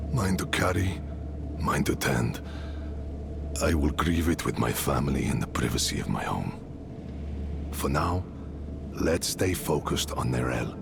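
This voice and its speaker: An actor playing a weathered, warm-hearted mercenary smith and father in a fantasy game, speaking in a measured, familiar way with a gravelly voice. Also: extremely low pitch, heavily acted. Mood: somber, resolute. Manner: measured, familiar, addresses listener as friend. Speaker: mercenary leader character. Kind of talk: fantasy game dialogue acting.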